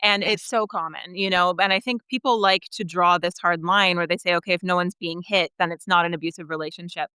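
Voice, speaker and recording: A woman's voice: lowish voice, steady tone, a little fast, hardly any pauses, sharp tone, clean tone, dry recording. A woman in her thirties talking candidly about her own life as a writer being interviewed. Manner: candid, chuckles as she talks. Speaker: writer, podcast guest. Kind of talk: conversational interview, personal storytelling.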